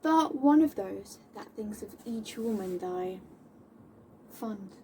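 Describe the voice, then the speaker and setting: A young woman playing a defiant, scornful tomboy of seventeenth-century London in a classical stage monologue, declaiming indignantly with dramatic pauses. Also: mid-range voice, animated, warm tone. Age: young adult. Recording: distant mic with faint background noise.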